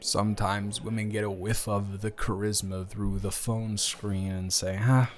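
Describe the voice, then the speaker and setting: A man acts out a smitten young man in an intimate audio roleplay. His voice sits a little low and he takes his time, stammering and wandering through wordy, self-deprecating jokes. He comes across as awkward and jealous beneath the joking. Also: flat delivery, unhurried, lightly acted. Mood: wry, self-deprecating.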